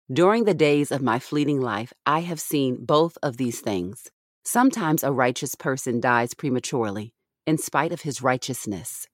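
Measured low-voiced woman reading scripture, dry sound. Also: dry recording. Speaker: Bible reader on a podcast.

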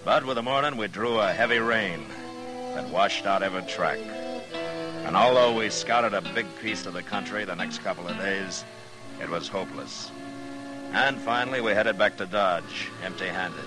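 Man acting deep voiced tired marshal, laconic, steady, old western radio show. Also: moderate pace, music in the background, natural voice, low-quality recording. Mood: grim, weary. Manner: terse, understated. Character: steady, laconic, world-weary frontier marshal.

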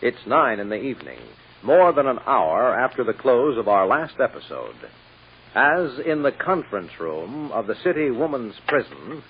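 An actor as the narrator of an old radio serial, setting the scene in scripted style. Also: male voice, medium pitch, sing-song, moderate pace, lightly acted. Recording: muffled, noisy old radio recording.

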